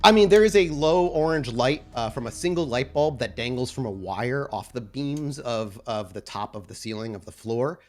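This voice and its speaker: Guy running a tabletop rpg, describing scenes, average pitch, bit of music behind him. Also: expressive, normal speed, hardly any pauses. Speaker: game master. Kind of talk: narrating a tabletop roleplaying game. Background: background music.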